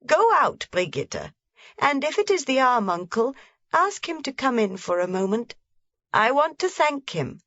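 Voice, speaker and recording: A woman's voice: high-pitched, sing-song, unhurried, fairly bright tone, dry recording, voice pushed up, exaggerated voice. Woman doing a frail frightened grandmother. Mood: grateful. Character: frail fearful old grandmother. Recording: dry, slightly dull recording.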